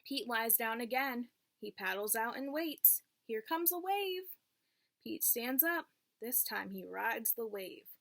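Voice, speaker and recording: Lady teacher reading a kids book, slow n clear phrases, kinda high voice, asks the kids stuff. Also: lively intonation, a bit bright. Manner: simple short phrasing, checks in with listeners. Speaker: teacher reading aloud to young children. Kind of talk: picture-book read-aloud with questions to children.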